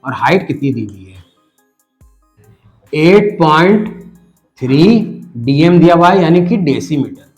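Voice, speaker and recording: A man with a hoarse voice from a cold, patiently explaining a math lesson step by step and talking straight to the viewer. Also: fairly high pitch, lively intonation, a little mellow, soft background music. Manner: patient, addresses the viewer directly, repeats numbers slowly. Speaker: math teacher.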